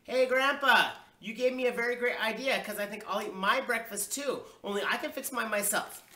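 A man acts out a bossy little kindergarten girl from a storybook, excitable and swooping in pitch. The delivery is bright and emphatic, with childish grammar. It sounds roomy and slightly far from the mic. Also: moderate pace, full character voice. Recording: roomy echo, distant microphone.

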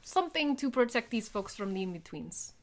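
Woman rpg player, casual role-play talk, fairly high voice. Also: sing-song, brisk. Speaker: tabletop game player.

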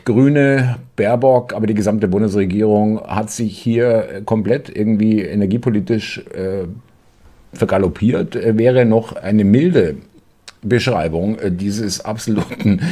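A man in his fifties hosting casual political commentary from a home desk, halting with many filler sounds and sarcastic asides. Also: fairly low pitch, warm tone.

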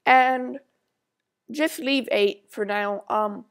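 A woman with a stuffy, congested voice, talking at an unhurried pace through a coding tutorial. Her voice has a gravelly edge of fry as she reads out code while typing and thinks aloud between steps. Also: fairly high pitch, a bit bright. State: stuffy nose. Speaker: tutorial maker.

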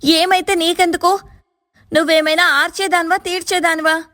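A man voicing a sharp-tongued, spoiled young woman who snaps and complains in a storybook reading. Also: flat delivery, dry recording, clean studio sound, full character voice. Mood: angry, scornful. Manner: shrill, scornful, quick to anger. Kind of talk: storybook narration.

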